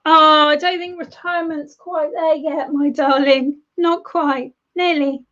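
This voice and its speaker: A high-voiced woman reading tarot cards live for viewers, warm-natured in words, calling people darling and love. Her voice is a bit hoarse from losing it, and the stream audio sounds dull and lo-fi. She talks in loose, chatty runs with small restarts. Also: fairly even intonation, a little slow. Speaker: tarot reader.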